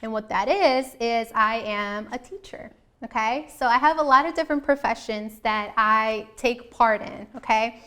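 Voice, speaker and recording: Young woman show host, kinda high really clear voice, chatting to viewers, earnest, repeats herself a bit. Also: moderate pace, bright, forceful tone. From US Midwest, born in Colombia. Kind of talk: informal talk to viewers about herself.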